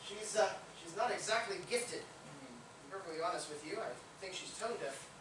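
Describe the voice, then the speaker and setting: A man acts out an evasive, flattering music teacher in a stage comedy. His delivery is halting, and the recording is echoey, slightly distant and noisy. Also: high-pitched, natural voice. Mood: smug. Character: evasive, flattering male singing teacher and performer. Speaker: actor in a staged reading.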